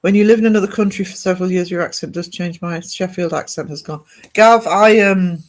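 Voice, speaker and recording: A man's voice: sits high, a little fast. A man with a British English accent chatting casually and ramblingly with livestream viewers, reading and answering their comments. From northern England. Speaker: livestream host.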